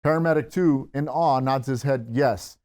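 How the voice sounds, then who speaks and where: A man's voice: mid-range voice, moderate pace, a little mellow. A man narrating screenplay stage directions at a table read.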